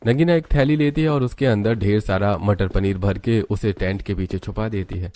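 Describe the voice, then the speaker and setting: Low, dark-voiced male story narrator. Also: moderate pace.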